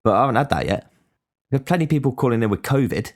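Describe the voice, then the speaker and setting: A man's voice: mid-range voice, big pitch swings, fast. A young man giving a blunt, sweary casual monologue as a podcast host. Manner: blunt, swears freely, ranting.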